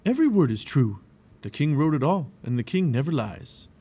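A kind old guide from a children's story, acted by a man. He speaks up with reassurance and certainty, his pitch moving expressively. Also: very animated, moderate pace, dark tone, husky. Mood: kindly, assured.

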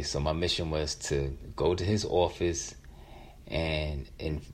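Storyteller, American male, fifties, low-pitched, halting and reflective, conversational delivery, noticeable noise behind the voice. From West Philadelphia, United States. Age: 50s. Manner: halting, reflective, repeats words. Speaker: featured storyteller.

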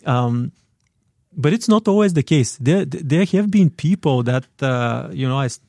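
A man's voice: medium pitch, expressive, a little slow, gravelly, warm tone, no room sound, studio quality. A middle-aged man from Bulgaria with a Bulgarian accent, a guest in a radio interview, hesitant and full of fillers and restarts.

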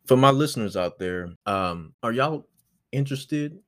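A twenty-year-old man hosting his solo podcast, rambling in a relaxed way with lots of fillers. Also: medium pitch, a little slow, no echo, studio quality. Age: 20s. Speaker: podcast host.

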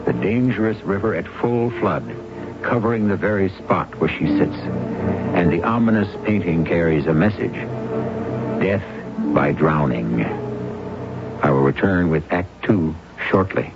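Solemn suspense storyteller, deep, measured and theatrical, dull vintage radio recording, loud music. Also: male voice. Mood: solemn, ominous. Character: solemn, ominous storyteller host of suspense tales. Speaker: host and narrator. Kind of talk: old-time radio scripted suspense narration.

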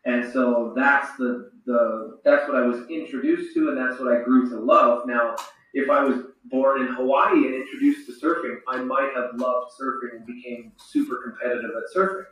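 Man, bright voice, echoey room. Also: mid-range voice, very animated. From Saskatchewan, Canada. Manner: reflective, speaks slowly with frequent restarts. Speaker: retired hockey player answering kids' questions. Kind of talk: thoughtful Q&A with children. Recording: roomy, echoey sound from far off.

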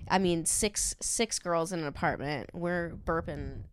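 A young woman in college, a guest on a podcast, telling stories in a casual chat, bubbly and quick to giggle. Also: lowish voice, clean tone. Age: young adult. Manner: giggly, bubbly storytelling with frequent laughs.

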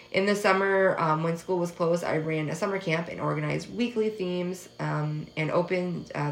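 A woman in her twenties whose first language is French, hosting a podcast in a casual personal monologue, soft, hesitant and earnest, pausing often. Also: sits low, vocal fry. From United States. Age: 20s. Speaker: podcast host. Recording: echoey room.

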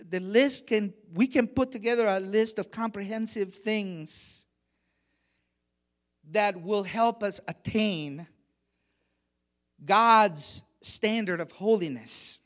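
A middle-aged man from Honduras preaching a sermon, measured and emphatic, with quieter stretches for personal stories. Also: high-pitched. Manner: measured preaching, rising emphasis on key phrases. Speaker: guest preacher.

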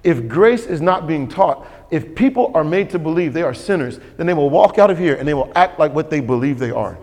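A man's voice: on the high side, expressive, fairly quick, a little mellow. A man preaching a sermon as pastor, emphatic and repetitive, calling for responses from the congregation. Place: church sanctuary. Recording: long hall reverb.

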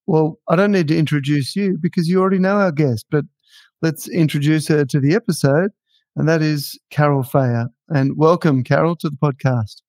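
A man's voice: on the high side, a little mellow, no room sound. A man hosting a podcast who chats and teases his guests and laughs easily. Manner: chatty, teasing, quick to laugh. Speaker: podcast host. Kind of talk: casual podcast interviewing.

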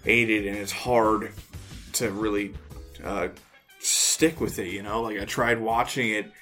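A man's voice: on the low side, steady tone, slow pace, a bit bright, faint music behind. A man co-hosting a podcast, chatting casually. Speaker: podcast co-host. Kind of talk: casual chat.

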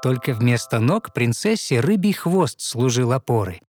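A man narrating a fairy tale in verse as scripted audio storytelling, with measured, expressive recitation. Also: mid-range voice. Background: soft music.

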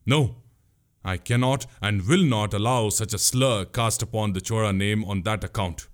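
A man's voice: lowish voice, normal speed, lightly acted. A man playing a bold, commanding young prince in a historical tale, with formal, resolute speech. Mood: defiant. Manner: formal, resolute, archaic wording.

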